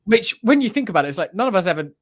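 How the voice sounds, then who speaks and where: A man's voice: sits high, very animated, racing. Enthusiastic, rambling man chatting casually. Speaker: podcast panelist. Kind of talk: casual chat about a radio drama.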